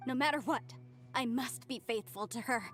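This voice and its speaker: A woman's voice: a little high, moderate pace, fairly bright tone, faint music behind, lightly acted. An actress playing a fervent, devout young woman in a video game, earnest and dramatic in her delivery. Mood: resolute, devout.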